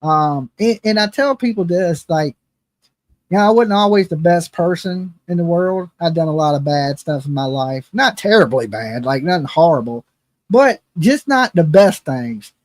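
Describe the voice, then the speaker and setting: A man's voice: fairly high pitch, animated, moderate pace, no room sound. A man in his fifties hosting a true crime channel, chatting casually and bluntly with lots of "right?" and "okay?". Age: 50s. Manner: rambling, blunt, self-mocking, frequent "right?" and "okay?". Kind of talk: casual livestream chat.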